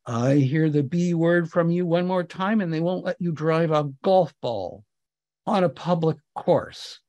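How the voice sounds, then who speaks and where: A man's voice: on the high side, unhurried, mellow. A man voicing an imperious, glamorous female movie star with a withering, haughty, commanding manner in a story reading. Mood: threatening. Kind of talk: audiobook-style character dialogue.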